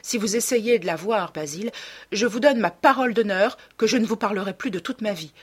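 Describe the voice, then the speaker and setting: Young man played by a woman, husky, pitched up, imperious, agitated, insistent, audiobook character. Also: brisk, natural voice. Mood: grave, threatening. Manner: insistent, emphatic protests.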